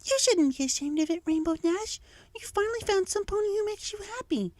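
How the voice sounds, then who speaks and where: Man plays shy female cartoon pony: very high, soft, tender, encouraging. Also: animated, brisk, dry recording. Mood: tender encouragement.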